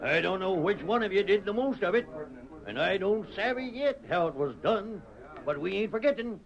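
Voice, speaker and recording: Creaky, cantankerous old settler. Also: male voice, high voice, lively intonation, normal speed. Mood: gruff gratitude. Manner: rustic western drawl. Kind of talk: 1940s radio western acting. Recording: muffled old radio, crowd behind.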